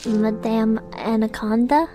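A woman playing a kindly young caretaker with a gentle, coaxing manner in a comic audio drama. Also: on the high side, steady tone, slow. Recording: rain and music behind.

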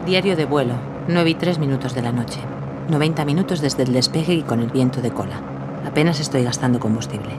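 A woman's voice: rumbling low, a little fast, heavy background noise. A woman playing a determined, independent 1930s aviator in a scripted audio drama, assured and spirited. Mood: focused. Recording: music behind the voice.

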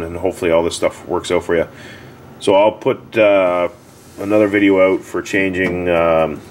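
A man giving a casual, unscripted how-to as a repair technician, plain-spoken and halting, often repeating himself. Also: low voice. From Canada. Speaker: hot tub repair technician giving a tutorial. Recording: clearly audible background noise.

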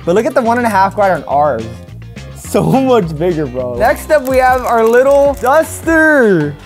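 A young barber in his twenties talks through a product review with animated, slangy exclamations. His pitch swings widely as he reacts, and soft background music runs faintly under his voice. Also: unhurried. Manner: animated, exclaiming, slangy ("bro", "what the heck"). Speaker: barber and video host. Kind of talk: excited product unboxing and review. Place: indoor studio set at a table.

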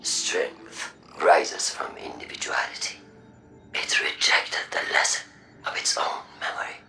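A woman playing an imperious machine-mind villain in a video game, grandiose and coldly persuasive, in a breathy, processed voice. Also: pushed and bright. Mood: coaxing, lofty. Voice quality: breathy, whispery, gravelly. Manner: measured, grandiose, deliberate. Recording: echoing, distant, with music behind.

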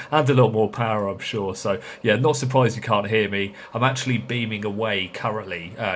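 A man's voice: on the low side, fairly bright tone. A man chatting casually and hesitantly as he narrates and operates amateur radio. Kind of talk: casual hands-on narration and radio contact chat. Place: small indoor radio shack. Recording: noisy room with radio hiss.

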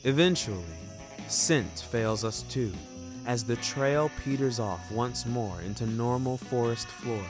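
A man narrating scripted fiction in a wordy, wry and theatrical way, taking his time over phrases. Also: medium pitch. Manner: wordy, wry, deliberate storytelling. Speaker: narrator of an audio fiction series. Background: music.

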